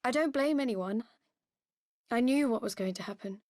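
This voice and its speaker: Woman playing a high-voiced, grieving young woman. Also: a little mellow, dry recording, clean studio sound, close to an everyday voice. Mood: mournful, resigned. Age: 20s. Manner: earnest, halting confession. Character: grieving young woman revealing her painful past. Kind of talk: dramatic video game cutscene acting.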